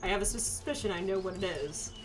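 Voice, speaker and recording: A woman streaming a game with casual, animated, playful commentary among friends. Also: mid-range voice, brisk. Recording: faint background noise.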